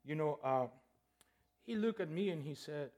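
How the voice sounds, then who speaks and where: A man's voice: a little high, expressive, moderate pace, darkish tone. A man from El Salvador, a guest preacher giving a playful, conversational sermon with frequent restarts and "okay?" checks.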